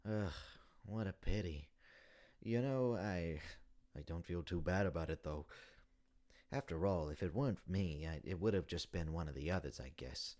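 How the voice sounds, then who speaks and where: A man voicing an elderly, melancholy hippopotamus from a video game, stammering and rambling on slowly and wistfully. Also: low-pitched, a little mellow, close to an everyday voice. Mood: mildly apologetic, weary. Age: older. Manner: hesitant, stammering, long-winded rambling. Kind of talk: video game character monologue.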